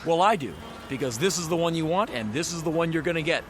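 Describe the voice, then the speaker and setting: Radio drama: actor as bombastic male car huckster, high voice, folksy, relentless wheedling. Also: expressive, fast talker, a little hiss or hum, close to an everyday voice. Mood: smooth, cajoling. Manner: bombastic, wheedling, florid sales patter. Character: pushy, glib used-car salesman, a folksy fast-talking huckster.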